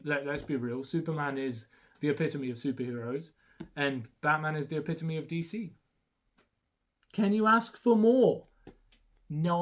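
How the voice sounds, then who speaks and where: Young man, first language Romanian, casual haul vlog, fairly high voice, rambling and hesitant. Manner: rambling, hesitant with many ums and restarts. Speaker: YouTuber showing a haul.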